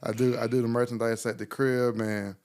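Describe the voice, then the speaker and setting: A man's voice: mid-range voice, flat delivery, a little fast, a little mellow. Laid-back slangy young man. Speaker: guest, rapper and business owner. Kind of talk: casual chat.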